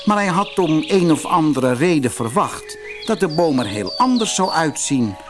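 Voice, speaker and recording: Animated male fairy-tale narrator. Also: a little high, moderate pace. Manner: expressive storytelling. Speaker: audiobook narrator. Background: music.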